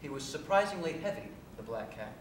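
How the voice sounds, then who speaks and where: A man gives a live dramatic reading of a first-person story on stage, in a measured, deliberate storytelling manner. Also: big pitch swings. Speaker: reader performing a short story on stage. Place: theatre stage at a live cabaret, recorded from afar. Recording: echoey distant stage recording, faint hiss and rumble.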